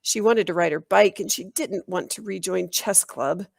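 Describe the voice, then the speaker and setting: A woman reading a children's book aloud in an animated, expressive storytelling style. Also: on the low side, normal speed, gravelly, no room sound, studio quality. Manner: animated, acts out dialogue.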